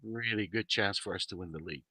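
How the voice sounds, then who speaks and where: A man whose first language is Arabic, explaining his thoughts calmly on a podcast and often checking in with "right?". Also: lowish voice, fast, breathy edge, no room sound. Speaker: podcast panelist. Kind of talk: casual podcast chat.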